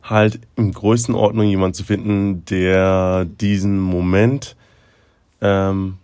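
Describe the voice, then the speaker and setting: Young guy podcast cohost, kinda low voice, lots of ums, bursts out laughing. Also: little pitch movement, slow pace, smooth, no echo, clean studio sound. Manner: hesitant, many fillers, laughs loudly. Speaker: podcast co-host. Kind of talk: casual chat.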